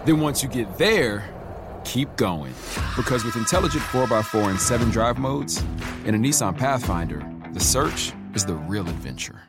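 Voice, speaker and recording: A man's voice: mid-range voice, big pitch swings, normal speed. A man narrating a car commercial with a dramatic, sweeping delivery. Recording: commercial mixed with loud music.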